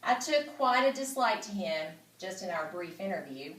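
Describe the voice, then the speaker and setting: A woman voicing a polite, dryly critical, weary aging female spider in a children's storybook, in a measured way. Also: on the low side, animated, a bit bright. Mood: critical, confiding. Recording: roomy echo, distant microphone.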